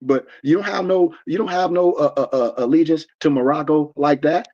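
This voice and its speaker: American man, forties, preachy live discussion host, fairly high voice, dull stream audio. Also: moderate pace, a little mellow. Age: 40s. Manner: preachy and emphatic, many restarts. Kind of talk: livestream discussion.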